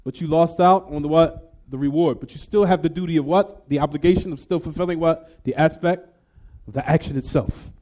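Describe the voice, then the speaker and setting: Man teaching a lesson, dark voice. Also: on the high side, brisk. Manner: asks the class rhetorical "what?" questions and checks "everyone?"; casual, conversational English mixed with Arabic terms. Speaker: Islamic teacher. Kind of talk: classroom lesson explanation.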